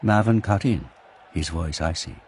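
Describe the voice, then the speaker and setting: A man's voice: low voice, normal speed, natural voice. A man narrating an audio drama in a dramatic, scripted storytelling style. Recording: clear background noise and ambience.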